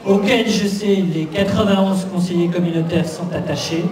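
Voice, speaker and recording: A man chairing a council meeting, giving a formal political address with halting, repetitive, emphatic rhetoric. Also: high voice. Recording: distant echoey hall with crowd noise.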